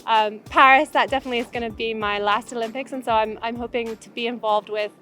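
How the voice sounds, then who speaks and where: A young woman rower from Uganda speaks in a relaxed, reflective way, her voice sitting a little above the usual pitch. She talks casually, with a few "um"s and "kind of"s, as in a documentary interview. Faint music plays softly behind her. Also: fast talker. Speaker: Olympic rower.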